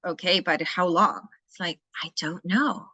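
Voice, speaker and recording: A woman's voice: lowish voice, measured pace, sharp tone, no echo. A woman from Chile speaking English with a Chilean Spanish accent, a life coach talking candidly and bluntly as a podcast guest. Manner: candid, frank and outspoken. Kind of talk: casual interview conversation.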